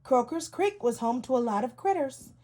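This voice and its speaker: 높은 목소리로 동화를 읽어 주는 여성. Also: female voice, sits high. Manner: expressive, deliberate phrasing for children. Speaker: children's story reader. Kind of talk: read-aloud storytelling for young children.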